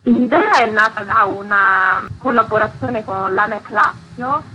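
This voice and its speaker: A woman's voice: medium pitch, measured pace, bright, forceful tone, muffled recording, soft background music. An articulate woman, a curator explaining a cultural programme in a radio interview. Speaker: festival curator being interviewed.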